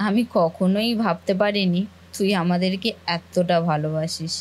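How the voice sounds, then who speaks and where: A woman's voice: fairly low pitch, moderate pace, darkish tone, lightly acted. A woman voicing a grateful, devoted sister-in-law in a cartoon family melodrama, speaking with earnest sentiment. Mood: moved, grateful.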